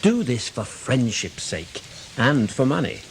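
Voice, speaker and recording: An actor playing a brisk, pushy newspaper editor, blunt and persuasive, in a radio play. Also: male voice, medium pitch, big pitch swings, creaky. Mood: blunt, cajoling. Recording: faint background noise.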